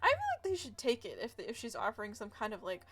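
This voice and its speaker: A woman's voice: mid-range voice, sing-song, fast talker, fairly bright tone, husky. A woman streaming a game, giving casual live commentary in a giggly, gushing way and reacting aloud as she plays. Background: game music.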